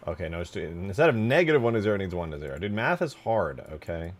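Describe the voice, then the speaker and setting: A man giving casual, slangy commentary as a game modder, muttering to himself as he thinks out loud while working. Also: sits low, sing-song, quick pace, a little hiss or hum.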